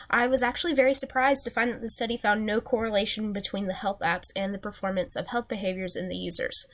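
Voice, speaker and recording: A young woman with a nasal voice, just over a sinus infection, earnestly giving a recorded class presentation from her slides. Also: a little high. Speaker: student presenter.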